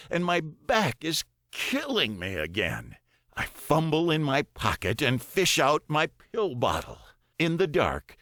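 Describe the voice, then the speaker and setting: A man narrates first-person fiction in a mid-range voice with a slow, measured pace. His pitch moves widely as he dramatizes the prose. The recording is close and dry, like a studio audiobook. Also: sharp tone, dry recording.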